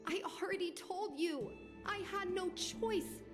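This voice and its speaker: A woman's voice: very high-pitched, measured pace. A woman voicing a headstrong, indignant young daughter in a video game drama. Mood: defiant, indignant. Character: headstrong, defiant young daughter, aspiring pilot. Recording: game audio with music behind. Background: music.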